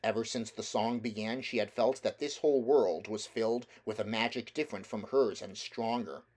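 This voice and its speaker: A man reading a children's novel aloud as a narrator, in a measured, deliberate storytelling manner. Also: fairly low pitch, steady tone, darkish tone. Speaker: audiobook reader.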